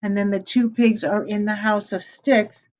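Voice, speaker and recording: A woman's voice: mid-range voice, fairly even intonation, normal speed. A middle-aged woman teacher reading a storybook aloud to children and stopping to explain words. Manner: warm, explaining word meanings to children. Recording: slightly dull lo-fi recording.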